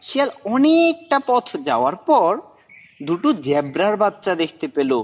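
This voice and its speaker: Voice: medium pitch, big pitch swings, moderate pace. A narrator reading a scripted children's animal story for a cartoon.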